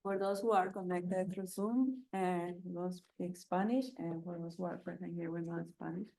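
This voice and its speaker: Woman, interpreter, slow, hesitant with fillers, echoey room. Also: on the low side, steady tone, vocal fry, warm tone. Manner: hesitant, many fillers while translating. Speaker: moderator and interpreter. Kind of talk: interpreting a talk into English.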